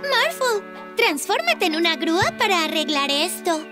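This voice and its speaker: A little girl from a children's cartoon, eager and helpful. The voice is very high and swings up and down in a lively way. Music plays behind the cheerful delivery. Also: unhurried, full character voice. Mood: commanding. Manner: cheerful, exclamatory.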